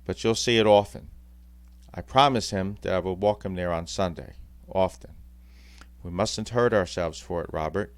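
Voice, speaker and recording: Man doing gentle, grieving Mrs Cratchit. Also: low voice, moderate pace, no echo. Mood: sorrowful.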